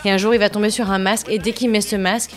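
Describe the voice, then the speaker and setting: A woman's voice: medium pitch, fairly even intonation, very fast. Woman, radio columnist, enthusiastic, explaining, faint music. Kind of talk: radio segment presentation.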